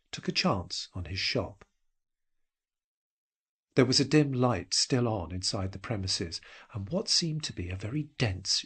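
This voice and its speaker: A man narrating a horror story in a measured, suspenseful and dramatic way. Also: fairly low pitch, fairly bright tone, breathy edge. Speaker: narrator reading a short story.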